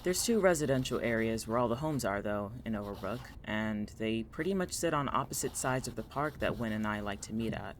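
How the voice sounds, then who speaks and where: A man playing a guarded, paranoid college student in an audio drama, with a dry, grudging deadpan delivery. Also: fairly low pitch, a little fast, hardly any pauses, a little hiss or hum, natural voice. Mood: brooding narration. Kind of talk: naturalistic audio drama acting.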